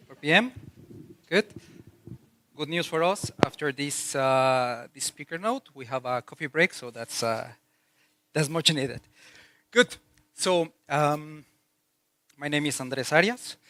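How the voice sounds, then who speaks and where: A man's voice: fairly high pitch, slow pace, pauses often, bright, forceful tone. A man from Mexico, a native Spanish speaker with a Mexican Spanish accent, giving a business talk at a conference in a chatty way, with fillers and restarts. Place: conference hall.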